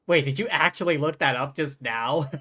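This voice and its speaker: A woman's voice: low voice, pushed and bright, husky. A woman livestreaming a video game, casually chatting and reacting aloud as it goes. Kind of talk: casual live commentary on a game. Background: game music.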